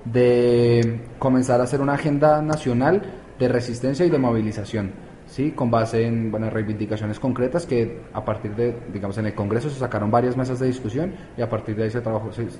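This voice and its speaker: A man's voice: medium pitch, fairly even intonation, a little fast, warm tone, a little hiss or hum. A man from Colombia, answering interview questions as a youth activist, hesitant with many fillers and restarts.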